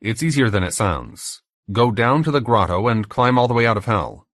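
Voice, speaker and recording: A man's voice: on the low side, expressive, normal speed, fairly bright tone, no echo, studio quality, pitched above the performer's own voice. A man voicing a weary, defiant American captive from a novel, in a plain, blunt manner. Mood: earnest.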